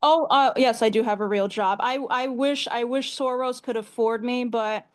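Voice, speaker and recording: A woman's voice: fairly high pitch, steady tone, moderate pace. An American woman in her twenties answering as an activist guest in a conversational interview, emphatic and repeating phrases for stress. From New York State, US. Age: 20s. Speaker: activist guest, community organizer.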